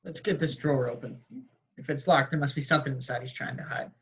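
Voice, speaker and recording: A man's voice: mid-range voice, fast talker, gravelly, a bit bright. A man playing an earnest, eager sidekick in a horror script reading, on lo-fi audio. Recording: dull lo-fi audio.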